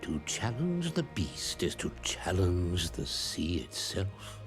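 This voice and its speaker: A man narrating a roleplay story in a grave, dramatic way, drawing out his words and dropping to hushed tones. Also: low voice, very animated. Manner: grave, drawn-out, slipping into hushed near-whispers. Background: music.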